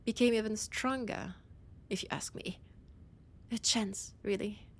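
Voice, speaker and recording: A woman with a French accent playing an ancient, proud, teasing vampire scholar in an audio roleplay, deliberate and often dropping into breathy whispers. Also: mid-range voice. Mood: fond, amused. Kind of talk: scripted roleplay audio drama monologue.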